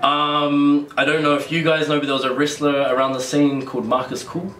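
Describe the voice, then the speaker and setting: A man's voice: a little high, level tone, brisk, a bit bright. A young man with a New Zealand accent, chatting casually as an interview guest, laughing easily and rambling with many restarts. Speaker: guest wrestler. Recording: echoey room, distant mic, faint noise.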